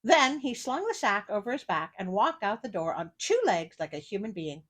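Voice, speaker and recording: A woman's voice: medium pitch, very animated, normal speed. A woman reading a fairy tale aloud as a storyteller, breaking off for casual, sardonic asides. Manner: sardonic, wry commentary. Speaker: storyteller, host of a story show.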